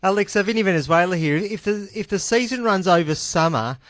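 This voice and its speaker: A man, a sports radio panelist, asking jokey, quick questions. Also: sits high, animated, fairly bright tone, no room sound.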